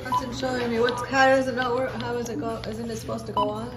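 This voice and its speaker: A woman's voice: on the high side, fairly even intonation, quick pace, roomy sound, over loud music. A woman filming her family at home, chatting casually and cheerfully with the kids as she narrates. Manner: chatty, upbeat, talking to the kids as she films. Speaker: parent filming a family holiday.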